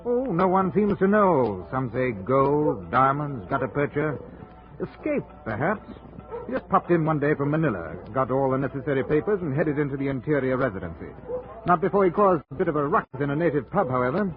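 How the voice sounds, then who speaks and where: A muffled vintage radio recording of a British colonial company man. He is chatty, homesick and fussy, his pitch swinging widely as he rambles and hesitates through a radio play. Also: sing-song, brisk, over music. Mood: chatty, wistful. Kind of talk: old-time radio drama acting.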